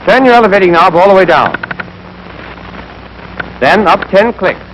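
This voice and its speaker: Young american guy teaching like an army instructor, highish voice, clipped scripted steps, bit of old 40s film noise. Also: expressive, moderate pace, a bit bright, highs cut off. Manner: clipped, step-by-step instructive delivery. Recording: noisy 1940s film soundtrack.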